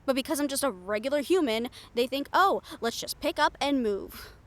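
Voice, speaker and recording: A woman's voice: high voice, lively intonation, moderate pace, a bit bright. A woman playing a bold, sarcastic, foul-mouthed reporter in an improvised audio roleplay, speaking in a candid, conversational way. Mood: exasperated, indignant. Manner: conversational, exasperated, candid, with sighs and swearing.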